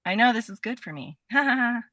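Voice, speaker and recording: Female podcast guest, bright forward tone, dry close sound, informal and halting conversational delivery. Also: fairly high pitch, lively intonation, dry recording. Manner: halting, filler-heavy with frequent "like". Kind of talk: casual chat.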